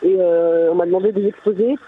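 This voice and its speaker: Husky woman on a narrow phone-like line. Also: on the low side, steady tone, quick pace. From Mamers, France. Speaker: visual artist, interview guest. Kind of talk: conversational radio interview answers. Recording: muffled phone-like narrow band.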